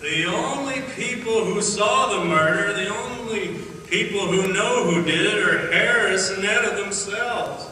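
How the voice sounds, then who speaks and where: A lawyer, blunt and grimly knowing, in a highish voice. The delivery is emphatic radio-theatre acting. It comes from far off in a heavily reverberant hall. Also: male voice, a little slow, few pauses, sharp tone, slight background noise. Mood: grave. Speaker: actor in a radio play. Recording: distant echoey hall, long reverb.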